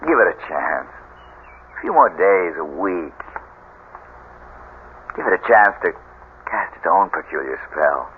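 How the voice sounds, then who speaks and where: Low-voiced, persuasive, secretive husband. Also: male voice, very animated, slow pace, pauses often, narrow, tinny audio, heavily acted. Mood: soothing, coaxing. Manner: dramatic, emphatic, shifting from soothing to curt. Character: reassuring, persuasive husband hiding a secret, short-tempered underneath. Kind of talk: old-time radio drama acting. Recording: thin, noisy vintage radio recording.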